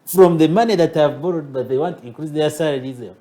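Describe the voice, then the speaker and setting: Mellow-voiced Ugandan man making a case. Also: on the high side. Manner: measured, builds arguments with rhetorical questions. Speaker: advocate guest. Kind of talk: talk show discussion.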